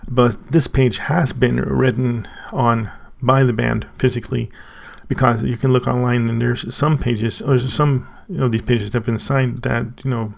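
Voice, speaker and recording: Man, Swedish native speaker, very gravelly voice, halting, casual unboxing talk. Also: mid-range voice, fairly even intonation. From Sweden. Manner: halting, thinking aloud with many restarts. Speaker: channel host.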